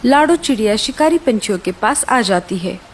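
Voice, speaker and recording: Fairly high-pitched woman storyteller. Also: animated, normal speed, a little hiss or hum. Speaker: story narrator. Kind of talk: scripted children's story narration.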